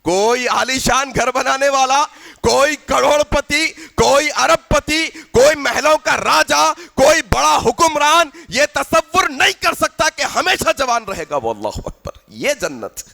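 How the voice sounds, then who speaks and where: A male preacher with a very high, bright and forceful voice delivers a fervent sermon. He repeats phrases for emphasis and stretches them almost into a chant. His delivery moves between loud proclamation and hushed exclamation. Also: animated, vocal fry. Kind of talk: impassioned Urdu religious sermon.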